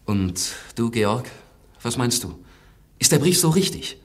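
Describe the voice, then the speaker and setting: An actor playing an idealistic, confident young poet and army doctor in a radio drama, speaking earnestly and with spirit. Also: male voice, medium pitch, very animated, measured pace. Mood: confident, scheming.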